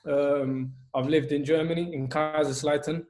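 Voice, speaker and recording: Coach from Albania, raised voice pitch, even flat delivery, earnest. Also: male voice, normal speed. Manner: earnest, steady, many ums. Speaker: youth football coach. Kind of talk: telling his life story.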